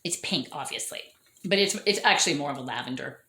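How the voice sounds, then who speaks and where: A chatty, enthusiastic woman talks through her orchids with a lively, swooping voice, often correcting herself as she goes. Her voice is fraying from overuse. She's recorded indoors in an echoey room at home. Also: low-pitched, fast. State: losing her voice. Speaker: orchid grower showing her plants. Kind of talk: casual show-and-tell vlog.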